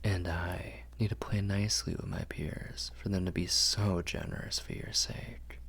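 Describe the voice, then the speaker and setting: Casting: male actor as refined, protective vampire lord for ASMR roleplay; very low, breathy, courtly and soothing. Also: fairly even intonation, fairly bright tone, exaggerated voice. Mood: calm, protective. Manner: slow, soothing, formal and courtly phrasing. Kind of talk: ASMR roleplay, intimate whispering and murmuring.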